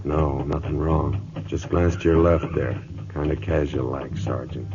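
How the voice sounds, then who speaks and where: An actor playing an aging, gruff cavalry captain in an old radio drama, giving clipped orders with dry, proud understatement. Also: male voice, rumbling low, flat delivery, unhurried, over music. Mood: wry, low-key. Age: older. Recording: dull, noisy old radio recording.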